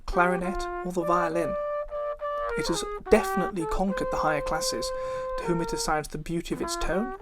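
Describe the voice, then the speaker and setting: Low female voice, formal read-aloud, occasional stumbles, wildly swinging pitch, loud cornet playing throughout. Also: poor audio. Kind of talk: reading an old instrumental method book introduction aloud. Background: live brass cornet playing.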